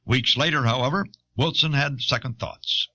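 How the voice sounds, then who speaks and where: A man narrating a scripted documentary in a measured, formal, explanatory manner, on an old audio cassette. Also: mid-range voice, sharp tone, no room sound.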